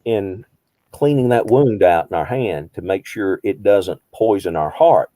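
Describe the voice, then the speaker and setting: A man's voice: lowish voice, a little slow, mellow, no room sound. A man in his fifties, a behavioral health counselor, teaching a small group conversationally, posing rhetorical questions and repeating phrases for emphasis. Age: 50s.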